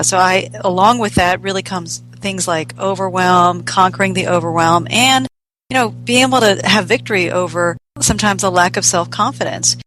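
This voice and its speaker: A woman's voice: medium pitch, a bit bright, slight background noise, rough recording. A middle-aged woman raised in the United States, answering conversationally as a business coach being interviewed. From United States, born in South Korea and immigrated at four. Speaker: business coach, podcast guest.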